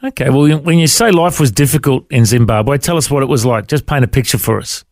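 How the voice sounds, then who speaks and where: A man's voice: medium pitch, brisk, darkish tone, no room sound. Man, podcast host, friendly questions, dry close sound. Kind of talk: casual interview.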